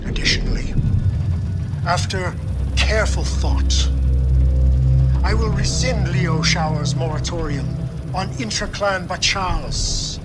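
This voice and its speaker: An actor playing a stately, solemn war leader giving a formal address in a game, with deliberate pauses. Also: male voice, sits high. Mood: solemn, stern. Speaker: actor in a game cut scene. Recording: loud music under the voice.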